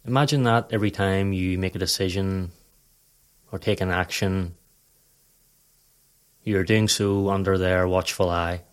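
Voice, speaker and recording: Low-voiced man guiding a meditation. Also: clear, resonant tone. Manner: calm, measured, instructional. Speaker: guided meditation narrator. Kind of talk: scripted guided meditation narration.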